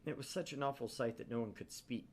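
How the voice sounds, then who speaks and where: A man's voice: medium pitch, quick pace, slight background noise. A man hosting a gaming channel, narrating a visual novel aloud with theatrical, irreverent casual asides.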